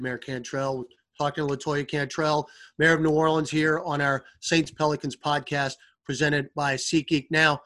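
A man's voice: a little high, fairly bright tone. A man speaking as a podcast host and sports announcer, conducting a broadcast interview.

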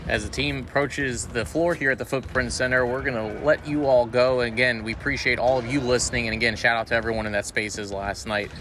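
Male sports podcast host, mid-range voice, rapid upbeat conversational delivery, clear ambient noise behind him. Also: mid-range voice, steady tone, fairly bright tone. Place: sports arena before a game.

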